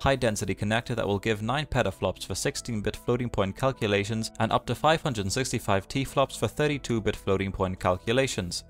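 A man reading a scripted tech explainer voice-over, rattling through dense figures in an even read. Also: fairly low pitch. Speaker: narrator of a tech explainer channel. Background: faint background music.

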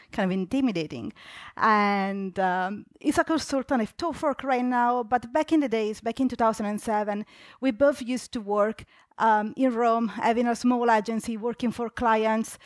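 A woman's voice: fairly high pitch, dry recording, clean studio sound. A young adult woman from Italy with an Italian accent, giving a conversational conference talk with many fillers and restarts. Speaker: conference speaker. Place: large dark auditorium stage.

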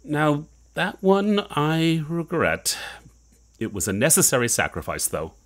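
An actor playing a ruthless, self-justifying villain in an audio horror drama, scornful and theatrical. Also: male voice, fairly high pitch, wildly swooping pitch, measured pace. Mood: cold resolve.

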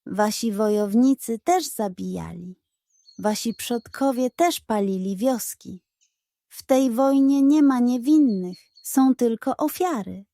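A woman's voice: on the high side, slow pace, warm tone, dry recording. A woman voicing a proud tiger pirate captain in a children's audio story, firm and composed. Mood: calm, resolute.

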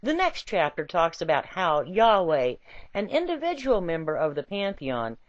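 A woman's voice: lowish voice, huge pitch swings, normal speed, no room sound. A woman reading a satirical essay aloud in a playful, theatrical storytelling manner, on a lo-fi recording. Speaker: reader of a written essay. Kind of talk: expressive scripted narration of a satirical essay. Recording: dull, lo-fi home recording.

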